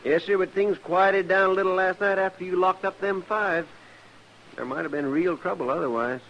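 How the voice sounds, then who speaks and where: An actor playing a chatty, deferential frontier deputy in an old-time radio western, with eager, rustic country talk. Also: male voice, high-pitched, normal speed. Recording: dull, hissy old radio recording.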